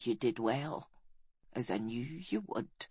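A woman voicing a dying warrior queen, halting and solemn, in a weak, breathy whisper, for an audiobook story. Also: rumbling low, lively intonation, warm tone, no echo, pitched below the performer's own voice, full character voice.

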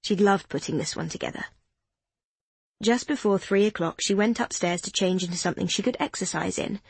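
A woman narrating an audiobook in an even, descriptive, unhurried way, close to a studio mic. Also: mid-range voice, vocal fry, husky, dry recording.

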